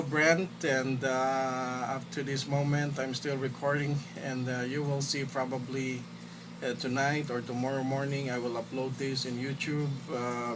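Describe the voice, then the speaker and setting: Male ham operator, slightly distant, clearly noisy. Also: medium pitch. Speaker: amateur radio operator. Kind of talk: casual ham radio contact. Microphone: phone recording in radio room.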